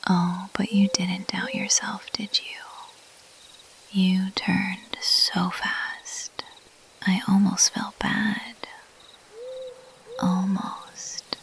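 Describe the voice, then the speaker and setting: A woman voicing a mischievous, playfully elusive bat monster girl in a teasing, intimate, breathy whisper for an ASMR roleplay. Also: medium pitch. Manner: slow, teasing, intimate, lingering on pauses. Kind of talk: ASMR roleplay, addressed to the listener.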